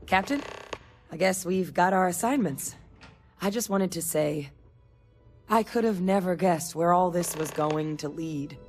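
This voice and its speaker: Low-voiced, earnest woman soldier. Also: a little mellow, soft background music. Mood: respectful. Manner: earnest, reflective, measured. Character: sincere, resolute female soldier haunted by her father. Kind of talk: dramatic video game dialogue.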